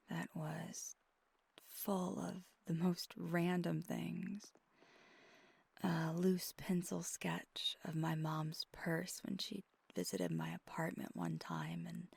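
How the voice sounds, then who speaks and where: Woman playing a tender vampire girlfriend, ASMR roleplay, low-pitched, breathy half-whisper, halting, wistful, close and dry. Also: dry recording, exaggerated voice. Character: wistful, tender female vampire girlfriend, ageless, confiding softly. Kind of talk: intimate ASMR roleplay monologue. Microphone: close microphone.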